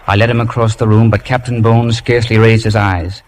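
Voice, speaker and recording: An actor playing an earnest young man who narrates an old radio drama in a formal, literary, measured way. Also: fairly low pitch, flat delivery, a little hiss or hum, close to an everyday voice. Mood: tense. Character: earnest young man recalling boyhood adventure. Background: music.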